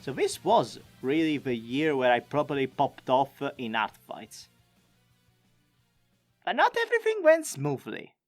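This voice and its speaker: A man giving an enthusiastic, expressive, chatty scripted recap as a video creator. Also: mid-range voice, a little slow, faint music behind. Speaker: video creator and amateur voice actor.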